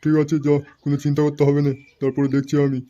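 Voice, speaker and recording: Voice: mid-range voice, completely flat delivery, racing, lightly acted. A performer voicing a boastful, vengeful tough friend in a comedy cartoon, blustering and crude. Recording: dull lo-fi sound, faint noise.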